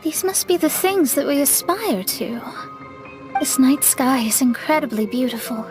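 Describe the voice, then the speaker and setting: Game heroine, young astrologist: high voice, articulate, earnest, reflective and quietly proud. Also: female voice, animated, music in the background. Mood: thoughtful, marveling. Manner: measured, articulate, solemn dramatic delivery. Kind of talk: video game cutscene voice acting.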